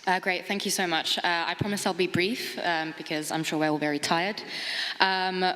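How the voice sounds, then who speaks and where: A woman from Finland, giving a measured conference presentation with frequent hesitant fillers. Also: on the low side, steady tone, fairly bright tone. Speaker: conference speaker, project officer. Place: conference hall. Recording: long reverb of a large hall.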